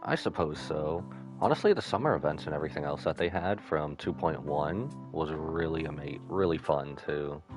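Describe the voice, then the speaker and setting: Very deep-voiced man casually commentating a game. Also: animated, moderate pace, over music. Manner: rambling, drifts into asides. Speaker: live-streamer.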